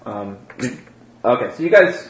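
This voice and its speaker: A man running a tabletop game as game master, talking in a halting way with many restarts. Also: mid-range voice, sing-song, slight background noise. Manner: halting, full of fillers and restarts. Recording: dull, echoey room recording.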